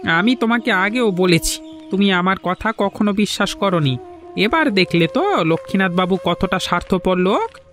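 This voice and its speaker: Man acting henpecked village fisherman, high voice, nagging yet agreeable sidekick, cartoon dialogue, faint music. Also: animated, normal speed, fairly bright tone. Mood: earnest. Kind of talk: animated folk-tale dialogue.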